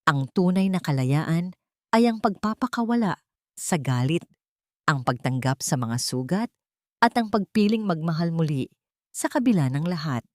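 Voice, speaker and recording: A woman voicing a calm, reflective woman pilot. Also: deep voice, normal speed, no room sound, clean studio sound. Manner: soft, reflective, gentle.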